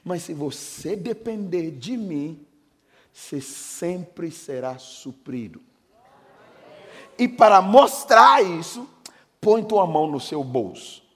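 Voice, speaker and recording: A middle-aged Brazilian pastor preaching with a high, bright voice. He drops to a hushed storyteller's tone, then builds to shouted declarations. A long church-hall reverb trails every phrase. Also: sing-song, slow pace. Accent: Brazilian Portuguese. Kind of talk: sermon with personal testimony.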